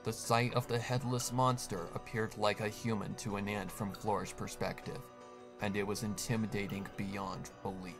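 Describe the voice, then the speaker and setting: A man narrating a horror fan story in a steady, hushed, dramatic voice. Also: fairly low pitch. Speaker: fanfic reader, narrator. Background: music.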